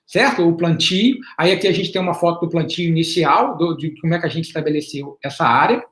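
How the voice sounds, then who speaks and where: A man's voice: fairly high pitch, a bit bright. Man presenting, echoey room. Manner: halting, repeats words, often ends points with a questioning "right?"; explanatory, measured. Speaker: invited panelist presenting field research. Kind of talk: webinar presentation with slides. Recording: roomy echo on an online call.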